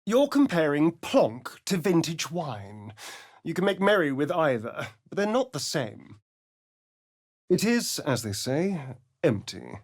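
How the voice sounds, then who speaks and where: A vain vampire spawn for a video game, drawling slowly and purring his lines. His pitch swoops about lively from low murmurs to sudden high mocking lifts, and everything comes out arch and sly. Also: full character voice. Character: vain, sardonic male vampire spawn, ageless young. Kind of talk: game voice acting.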